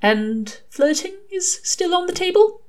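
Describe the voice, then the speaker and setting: A woman's voice: very high voice, big pitch swings, measured pace, a little mellow, voice pushed up, exaggerated voice. A woman voicing a prim, earnest male angel in an audiobook, with formal, fussy and hesitant phrasing. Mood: hesitant, flirtatious. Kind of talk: audiobook character dialogue.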